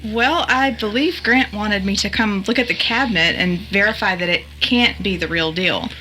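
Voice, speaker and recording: An actress playing a skeptical game-hardware expert in an audio drama, dry and matter-of-fact. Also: female voice, medium pitch, normal speed, pushed and bright, faint music behind, natural voice, low-quality recording. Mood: easygoing, dry. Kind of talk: audio drama, naturalistic dialogue.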